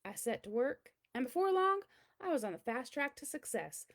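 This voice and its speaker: Woman playing a showy, boastful hunk of cheese, high-pitched. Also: very animated, moderate pace. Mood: eager, proud. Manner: pompous, showy, theatrical delivery. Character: boastful, attention-loving big chunk of cheddar cheese. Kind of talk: storybook read-aloud in character.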